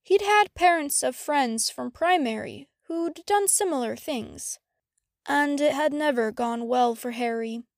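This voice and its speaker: High-voiced woman narrating a story. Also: unhurried, creaky, no room sound, studio quality. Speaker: fan fiction audiobook narrator.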